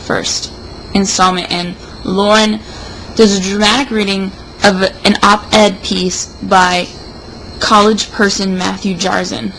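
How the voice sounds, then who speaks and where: Tipsy young woman rambling with creaky voice. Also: medium pitch, level tone, slow pace, fairly bright tone, poor audio. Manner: tipsy, sardonic. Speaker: vlogger. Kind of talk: casual rambling monologue. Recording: noticeable background noise.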